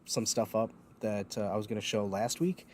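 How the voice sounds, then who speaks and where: A man's voice: lowish voice, moderate pace, very noisy. Rambling man hosting a livestream. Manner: rambling, many ums and uhs, laughs at himself. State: a bit loopy and foggy. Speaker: host. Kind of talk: casual livestream chat.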